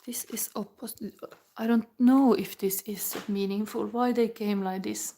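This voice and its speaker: Lady in her 40s doing a tarot reading, slow and musing, drops into whispers. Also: mid-range voice, fairly even intonation. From Finland. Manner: musing, halting, with soft whispered asides. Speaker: tarot reader.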